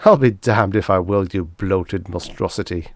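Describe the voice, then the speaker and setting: A man's voice: sits low, normal speed, no echo, studio quality. Man acting a cocky, flippant human prisoner. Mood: defiant. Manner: mock-innocent, flippant, sneering. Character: cocky, defiant male human prisoner taunting his alien captors. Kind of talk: pulp science fiction audiobook character.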